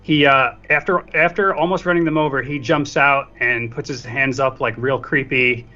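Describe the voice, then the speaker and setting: A man's voice: mid-range voice, steady tone, moderate pace, bright, forceful tone. A man in a casual film discussion, talking in a joking, self-deprecating way. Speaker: podcast guest co-reviewer. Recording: dull lo-fi audio, faint music.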